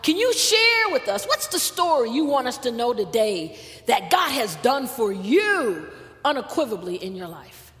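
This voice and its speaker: A woman's voice: a little high, sing-song, normal speed, a bit bright, soft background music. A woman pastor preaching emphatically and hosting a panel in a church. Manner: emphatic, calls out to congregation. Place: church sanctuary. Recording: long hall reverb.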